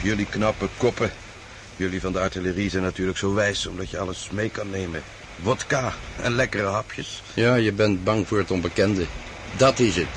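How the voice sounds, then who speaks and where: An actor playing a plain-spoken, philosophizing artillery captain in a radio drama, talking informally. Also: male voice, fairly low pitch, a little hiss or hum, lightly acted.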